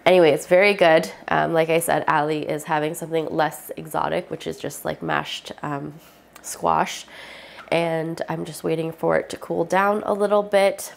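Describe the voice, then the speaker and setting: A woman's voice: deep voice, little pitch movement, moderate pace, gravelly, a little hiss or hum. A young woman vlogging in a casual, rambling way, with a stuffy, congested voice from a cold. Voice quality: stuffy, nasal. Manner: chatty, rambling with many fillers. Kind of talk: casual vlog chat.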